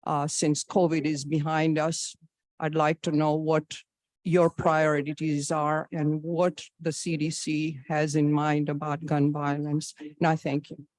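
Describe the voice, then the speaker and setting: Slow, deliberate woman in her sixties. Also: sits low, flat delivery, darkish tone, no room sound. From Tanzania. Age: 60s. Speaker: physician, audience questioner. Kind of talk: prepared personal statement and question at a public forum.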